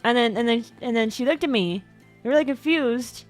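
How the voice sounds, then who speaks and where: Rambling young woman livestreamer. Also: moderate pace. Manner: rambling, repeats words, sudden squeaky jumps in pitch; stumbles over words. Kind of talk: casual stream chat. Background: faint background music.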